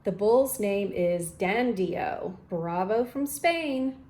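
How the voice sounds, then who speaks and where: A woman's voice: medium pitch, expressive, takes it slowly, darkish tone. A woman voicing an eager, adventurous male storybook character, reading his letters to a friend expressively. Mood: affectionate.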